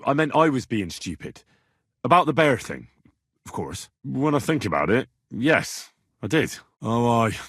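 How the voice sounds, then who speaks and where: Casting: earnest young medieval retainer, slightly rough voice, expressive delivery, conversational game dialogue, close dry sound. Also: male voice, medium pitch, no echo. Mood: casual, unsure. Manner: colloquial, conversational, inquisitive. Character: earnest, plain-spoken young medieval man in a lord's service. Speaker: video game protagonist. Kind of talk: naturalistic game dialogue acting.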